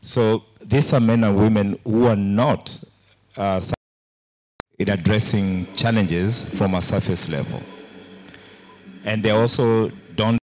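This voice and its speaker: A man whose first language is Luo, speaking at a panel and telling reflective stories with pauses and fillers. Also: fairly low pitch, a little mellow. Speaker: panelist from a social entrepreneurship organization. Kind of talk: panel talk to a live audience.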